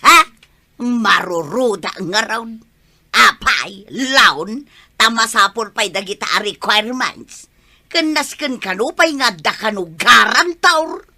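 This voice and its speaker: A woman's voice: on the high side, lively intonation, takes it slowly, bright, forceful tone, exaggerated voice. A woman with an Ilocano accent acting an exasperated, scolding village woman in a comedy drama. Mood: insistent.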